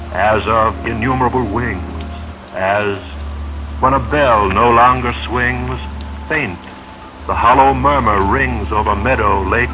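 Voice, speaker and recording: A man reading in a measured, soothing way, like a dreamy late-night radio announcer. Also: on the low side. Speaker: radio announcer and poetry reader. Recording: dull vintage radio sound, music behind.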